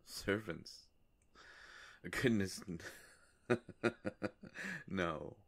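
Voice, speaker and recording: Man acting as a deep, slow, courteous vampire. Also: a bit bright, full character voice. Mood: apologetic, hesitant. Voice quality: gravelly, creaky low voice. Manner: polite, hesitant, formal, with long pauses. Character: courteous, melancholy male vampire, formal and old-world. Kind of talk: scripted roleplay audio drama addressed to the listener.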